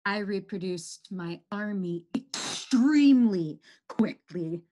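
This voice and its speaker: A woman playing a boastful, menacing virus in a theatrical, drawn-out stage monologue. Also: medium pitch, animated. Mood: defiant. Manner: theatrical, drawn-out, gloating, swinging from outbursts to hushed menace. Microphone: computer microphone, close webcam framing.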